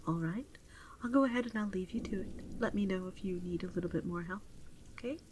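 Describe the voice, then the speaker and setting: A woman playing a gentle, doting virtual pet adoption clerk in a soft-spoken ASMR roleplay, often slipping into a whisper, unhurried and attentive. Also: mid-range voice, slight background noise, natural voice. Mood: softly helpful.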